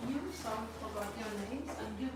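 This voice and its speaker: A woman's voice: on the high side, brisk. A woman in the audience asking the panel a question in a hesitant way, with many fillers. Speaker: audience member. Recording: echoey room, distant mic, noise.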